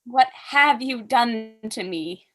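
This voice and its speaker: Young woman playing a haughty high-voiced aristocratic lady. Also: lively intonation, slow pace, fairly bright tone. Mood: exasperated. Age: young adult. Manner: pompous, declamatory, arch. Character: haughty, witty aristocratic mother, imperious and exasperated. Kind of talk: staged reading of a period comedy.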